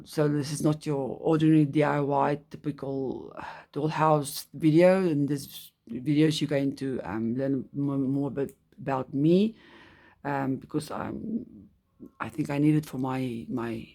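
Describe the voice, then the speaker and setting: A woman's voice: deep voice, a little slow, creaky, mellow. A middle-aged woman from South Africa, a native Afrikaans speaker with a South African accent, chatting casually and hesitantly about her hobby with many ums and restarts. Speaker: hobby vlogger. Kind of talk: casual chat.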